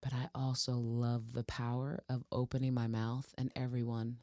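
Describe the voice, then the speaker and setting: A woman from Portland, Oregon, speaks in a low, commanding voice. She tells her story expressively, acting out others' words and laughing easily. The sound is close and dry. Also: flat delivery, normal speed, mellow, dry recording. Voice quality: deep, commanding voice. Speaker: singer, interview guest. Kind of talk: casual interview chat.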